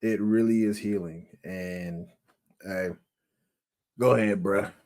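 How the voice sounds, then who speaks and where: A young man co-hosting a casual music review podcast, unhurried and emphatic, often restarting his sentences. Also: deep voice, fairly even intonation, a little mellow. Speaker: podcast co-host.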